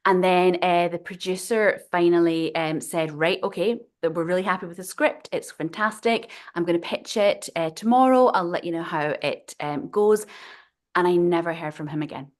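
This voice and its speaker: A woman's voice: lowish voice, normal speed. A woman writer being interviewed, talking in a chatty, digressive way and laughing often. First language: not Swedish. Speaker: guest writer. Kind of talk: casual interview conversation.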